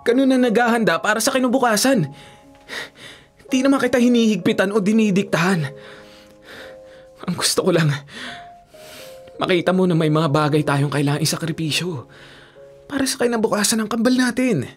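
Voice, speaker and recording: A man's voice: sits high, moderate pace, pauses often, faint music behind, lightly acted. A man with a Filipino accent playing a worried, earnest young husband and father in a radio drama. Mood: pleading. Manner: earnest, reasoning.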